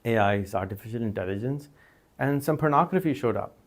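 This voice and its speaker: A man's voice: mid-range voice, lively intonation, brisk. A man whose first language is Urdu, teaching an informal recorded lecture in a conversational way, with many fillers and restarts. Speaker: course instructor.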